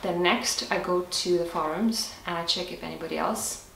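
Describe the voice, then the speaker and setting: This is a woman with a fairly low voice, answering her viewers' questions in a casual vlog. She thinks aloud and explains things step by step. Her voice comes from a little way off the mic in a reverberant room with faint background hiss. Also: normal speed, vocal fry, fairly bright tone, recorded from a distance. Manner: thoughtful, explains step by step with small restarts. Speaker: health vlogger. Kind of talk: casual Q&A talk to camera.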